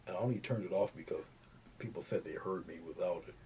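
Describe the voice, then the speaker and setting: A man with a very low, gravelly voice mumbles softly as he paints live for his viewers. He does not project, and he is picked up by the camera's own mic at a low volume. A clear hiss runs under everything. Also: fast. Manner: soft-spoken, mumbling asides while working. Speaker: painting livestream host. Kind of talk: casual live painting tutorial. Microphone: camera's built-in mic, not the lapel mic. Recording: noisy, low-volume webcam audio.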